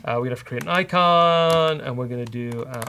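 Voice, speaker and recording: Gravelly-voiced man thinking aloud. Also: mid-range voice, fast talker, sharp tone, a little hiss or hum. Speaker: co-host coding on a software show. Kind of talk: casual live coding commentary, thinking aloud.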